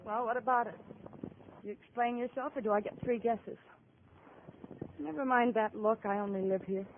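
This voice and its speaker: A woman's voice: fairly high pitch, normal speed, natural voice. An American woman playing a young widow, bruised, bitter and defensive, in 1950s radio drama style. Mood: defiant. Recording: tinny, noisy old radio recording.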